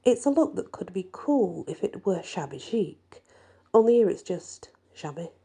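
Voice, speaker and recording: A woman narrating a first-person fantasy story in an expressive, deliberate storytelling style. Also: mid-range voice, vocal fry, husky. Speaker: story reader.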